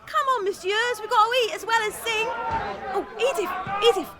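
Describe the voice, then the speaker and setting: An actress playing a brash, streetwise, envious Parisian woman in an audio drama, with coarse, slangy, excitable speech. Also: very high voice, normal speed, a loud crowd, very noisy. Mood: hustling.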